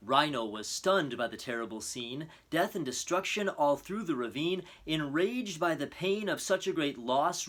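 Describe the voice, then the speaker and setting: A man's voice: fairly high pitch, a bit bright. Earnest man reading for children. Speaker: storybook reader. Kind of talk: expressive children's story narration and introduction.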